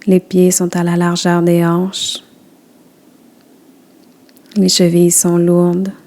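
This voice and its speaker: Slow, mellow-voiced woman guiding relaxation. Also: lowish voice, monotone. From Quebec. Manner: slow, soft, soothing instructions. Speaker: yoga nidra guide. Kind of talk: guided relaxation.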